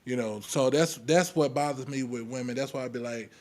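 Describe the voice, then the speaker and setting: A man's voice: medium pitch, brisk, darkish tone. An American man arguing his points casually on a podcast, blunt and slangy. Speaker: podcast panelist. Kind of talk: casual debate.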